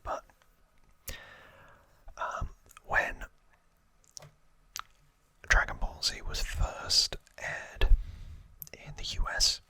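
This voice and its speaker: A man whispering as an ASMR host, telling trivia in a hushed, halting storytelling manner. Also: no echo. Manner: hushed, slow, halting delivery.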